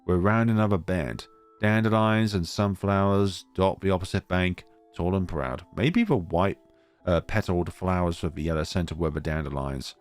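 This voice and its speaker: A man's voice: sits low, normal speed, clear, resonant tone, faint music behind. A man reading a visual novel aloud as a let's-play narrator, expressively, with asides of commentary.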